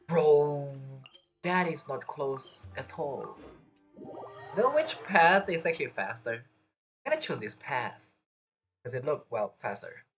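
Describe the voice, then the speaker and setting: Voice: mid-range voice, very animated, slow pace, pauses often, fairly bright tone, soft background music. A game streamer giving casual live commentary, rambling and thinking aloud.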